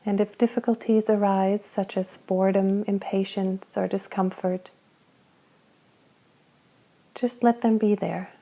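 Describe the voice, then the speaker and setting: A woman guiding a body scan meditation, speaking slowly and gently with long pauses between phrases. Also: medium pitch, fairly even intonation, poor audio. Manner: slow, soft, gentle guidance with long pauses. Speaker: meditation guide.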